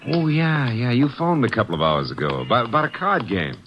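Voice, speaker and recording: An actor playing a breezy, sarcastic detective's assistant who also narrates a 1950s radio drama. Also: male voice, medium pitch, big pitch swings, brisk, muffled recording, noisy background, natural voice. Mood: wisecracking.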